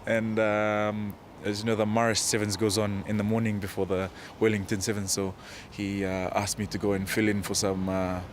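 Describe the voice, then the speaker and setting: Low-voiced, hesitant young Fijian man. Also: completely flat delivery, a little hiss or hum. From Fiji. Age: 20s. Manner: hesitant, modest, halting delivery. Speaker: professional rugby player being interviewed. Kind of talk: casual interview answers.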